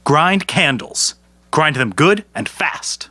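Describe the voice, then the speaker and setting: An actor playing a poor, canny, good-natured peasant man in an audio folktale, speaking deliberately. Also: a little high, animated, sharp tone, no echo, exaggerated voice. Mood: confident, sly. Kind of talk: audio storybook character acting.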